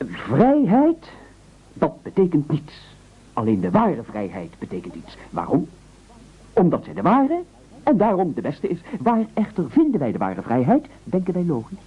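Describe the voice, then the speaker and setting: A man's voice: a little high, huge pitch swings, normal speed, slightly rough, exaggerated voice. An actor playing a meek, eager man who nobly offers himself up as a sacrifice, stammering and speechifying self-importantly in an absurdist radio play. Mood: pompous, oratorical. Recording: muffled old radio broadcast recording.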